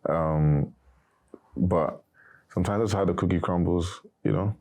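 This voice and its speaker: A young man, a former reality show contestant, answering interview questions in a casual, monotone way. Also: low voice, unhurried. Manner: monotone, not very animated.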